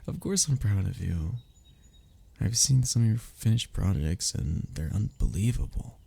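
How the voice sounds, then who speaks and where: A man's voice: fairly low pitch, animated, measured pace, creaky, mellow, breathy edge, heavily acted. A man playing a sentimental, teasing young man in an ASMR roleplay, talking intimately and conversationally to a childhood best friend. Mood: teasing, fond. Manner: intimate, conversational, with sighs and chuckles. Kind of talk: ASMR roleplay audio drama.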